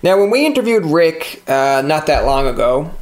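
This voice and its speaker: Jokey Newfoundland podcast host. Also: male voice, on the high side, big pitch swings, moderate pace. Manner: jokey, teasing, playful. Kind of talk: casual podcast interview.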